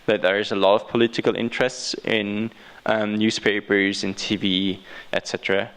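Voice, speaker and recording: A man's voice: fairly low pitch, a bit bright, clear, resonant tone, poor audio. A man from Austria with an Austrian accent, speaking as a guest and answering questions unscripted, often repeating and restarting his phrases. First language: German.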